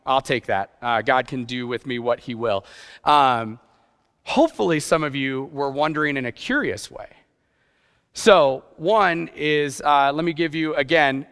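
A youth pastor preaching a sermon in a conversational way, with rhetorical questions and playful asides. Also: male voice, mid-range voice, a little slow, a bit bright, smooth. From grew up partly in Japan. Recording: long hall reverb.

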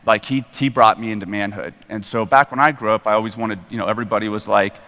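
Bright-voiced American man in a reverberant hall. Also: fairly low pitch, quick pace. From Colorado, United States. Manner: casual, self-deprecating, animated retelling of dialogue. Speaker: guest preacher. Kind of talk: informal testimony with personal storytelling.

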